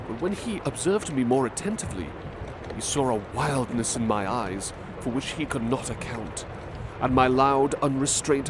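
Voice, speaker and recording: A man reading a classic novel aloud in a slow, measured, soft-spoken way, as a butler in an ASMR roleplay. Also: mid-range voice, background noise.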